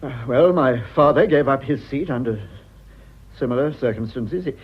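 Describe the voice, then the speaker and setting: An actor playing an earnest, self-sacrificing English politician husband in a radio drama, speaking formally with hesitant, measured delivery. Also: male voice, mid-range voice, animated, a little hiss or hum, close to an everyday voice. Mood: earnest, restrained. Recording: muffled, dull radio drama recording.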